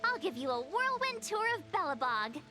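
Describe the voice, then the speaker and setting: Very high, bubbly teenage girl. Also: sing-song, moderate pace. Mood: chatty, teasing. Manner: bubbly, exclamatory, dramatic. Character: perky, expressive teenage girl adventurer. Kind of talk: animated game voice acting. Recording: loud game music behind.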